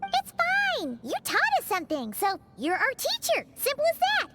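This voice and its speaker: A woman's voice: extremely high pitch, huge pitch swings, normal speed, exaggerated voice. A woman voicing a tiny, childlike, chirpy and bossy fairy companion in an English video game, with an American accent. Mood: reassuring. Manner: speaks of herself in the third person, exclaims brightly. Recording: music behind the voice.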